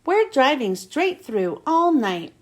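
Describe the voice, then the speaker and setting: A woman's voice: sits high, sing-song, slow, exaggerated voice. A woman voicing a cocky, boastful young male race car in a children's story. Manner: boastful, protesting.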